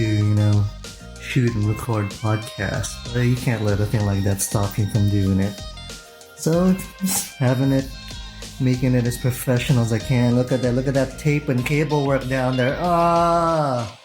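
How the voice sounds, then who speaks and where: A man in his thirties hosting a casual vlog, chatty and quick to laugh. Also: mid-range voice. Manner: laughing, animated storyteller. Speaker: vlog host. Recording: music underneath.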